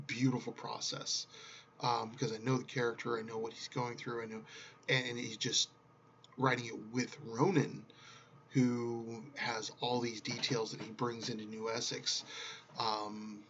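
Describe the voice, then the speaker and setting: A man's voice: mid-range voice, vocal fry, a bit bright. A middle-aged man talking casually and unscripted as a writer in a vlog, rambling reflectively and often stopping mid-thought. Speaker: writer talking about his projects. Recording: dull lo-fi sound, faint background noise.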